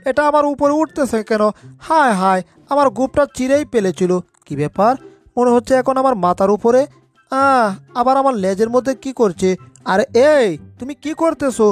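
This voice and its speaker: A woman's voice: sits high, fairly quick, faint music behind, lightly acted. A woman voicing a panicky, put-upon male cat in a cartoon dub, exclaiming and fretting to itself. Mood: startled, annoyed. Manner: exclaiming, fretful muttering to itself.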